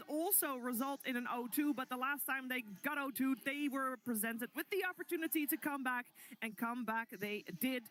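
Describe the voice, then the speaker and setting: A woman's voice: high-pitched, fairly bright tone. A woman presenting a live esports event as stage host, with dramatic, emphatic announcing.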